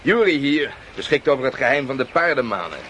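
A man acting an unruffled noble traveller in a radio drama. He has a medium-pitched voice and a dry, teasing manner, with faint noise in the recording. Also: expressive, brisk, fairly bright tone, lightly acted. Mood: modestly amused. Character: calm, amused noble traveller, modest benefactor. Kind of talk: Dutch radio play acting.

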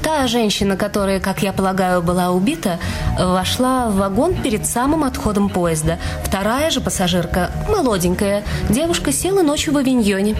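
A woman's voice: mid-range voice, expressive, normal speed, lightly acted. Actress playing a composed, guarded female witness over music. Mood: composed, hesitant. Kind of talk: audio drama narration and dialogue.

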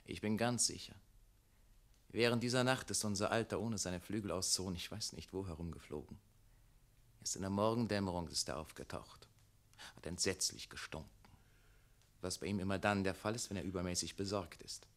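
An actor playing a mayor's son and secretary in a German radio play, measured, coaxing and insistent in his questioning. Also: deep voice. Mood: confiding.

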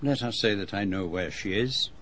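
An actor playing a shrewd, courteous middle-aged gentleman detective with a French-speaking European accent, in formal, precise phrasing for radio drama. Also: on the low side, moderate pace, a little hiss or hum, lightly acted. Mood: polite, grave. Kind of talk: radio drama dialogue and narration.

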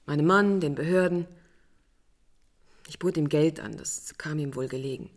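A woman's voice: deep voice, normal speed. An actress playing a proud, defiant married woman under suspicion in a radio crime drama, guarded and curt in manner. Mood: bitter, confessing.

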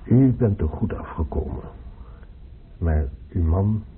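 Sombre, firm doctor, low and slow formal delivery, radio-play medium. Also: male voice, lively intonation. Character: sober, firm male doctor breaking bad news. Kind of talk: radio drama acting. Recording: hissy muffled phone-like old radio recording.

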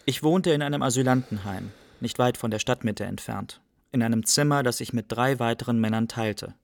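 An actor playing an Iraqi refugee who recounts his exile in a measured, matter-of-fact radio drama narration. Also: medium pitch, creaky, no echo, close to an everyday voice.

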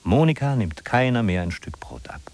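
An actor playing a sardonic narrator in a 1950s German radio play, ironic, declamatory and emphatic. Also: male voice, on the low side, big pitch swings, moderate pace, a little mellow. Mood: bitterly ironic. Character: sardonic male narrator of a bleak big-city inventory. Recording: husky-sounding 1950s radio recording from cassette.

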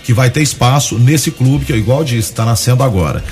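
A man guesting on a radio show, his voice thick with a cold. He talks haltingly, restarting his words often, at an ordinary pitch. Soft music plays faintly underneath. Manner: hesitant, many filler restarts. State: has a cold. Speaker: comedian guest. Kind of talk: radio studio interview.

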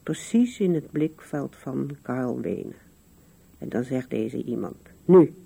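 An actress playing an old widow in a radio play, musing wistfully to herself and to absent loved ones. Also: female voice, very deep voice, animated, takes it slowly, creaky, dark tone, husky, heavily acted. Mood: reflective, amused. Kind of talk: radio drama monologue.

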